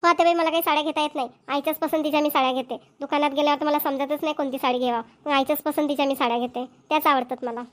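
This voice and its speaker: Woman acting a high-voiced young village daughter-in-law. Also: steady tone, racing, few pauses, lightly acted. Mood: dutiful, earnest. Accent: Varhadi Marathi. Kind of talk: amateur cartoon comedy voice acting.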